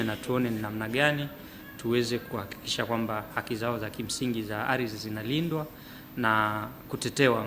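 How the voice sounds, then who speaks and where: Tanzanian man, measured panel remarks. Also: medium pitch, a bit bright, a little hiss or hum. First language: Nyaturu. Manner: measured, hesitant, many fillers. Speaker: farmer representative. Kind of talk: panel remarks mixing Swahili and English.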